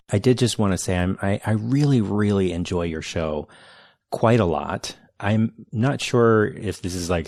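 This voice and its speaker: Podcast host guy, really low voice with lots of fry, earnest and keen. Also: darkish tone, no echo, studio quality. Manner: earnest, enthusiastic, hesitant with restarts. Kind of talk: read intro then casual interview chat.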